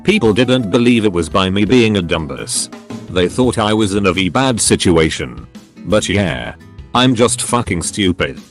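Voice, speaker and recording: Low-voiced man narrating over music. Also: animated, a little slow. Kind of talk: scripted narration of online stories. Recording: music behind the voice. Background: background music.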